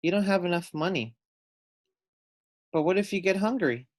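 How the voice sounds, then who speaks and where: A man's voice: high-pitched, lively intonation, normal speed, dry recording, clean studio sound, pitched above the performer's own voice. A man reading a picture book, voicing a restless, concerned young girl in a childlike, plaintive way. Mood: curious, concerned. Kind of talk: picture-book read-aloud character voice.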